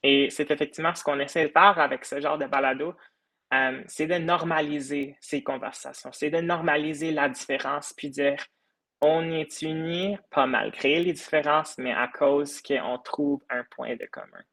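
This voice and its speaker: An earnest young man from the United States talks through his ideas in a relaxed interview. His voice is bright and forward. He often hesitates with fillers before pushing on. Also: on the high side, normal speed, gravelly. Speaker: podcast creator, guest. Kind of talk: casual interview talk.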